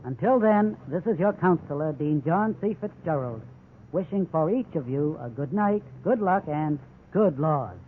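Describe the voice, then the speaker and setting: A man's voice: a little high, expressive, normal speed. An American man giving scripted legal commentary as a counselor on 1950s radio, formal and instructive. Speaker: legal counselor, law school dean. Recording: tinny, hissy 1950s radio recording.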